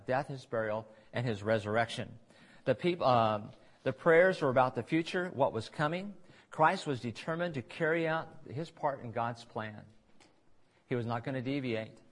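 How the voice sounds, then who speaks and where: A man delivers a sermon in an ordinary mid-range voice. He speaks conversationally, breaking off into asides and restarting his phrases. The talk sounds like a church service recorded on tape. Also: medium pitch. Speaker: preacher.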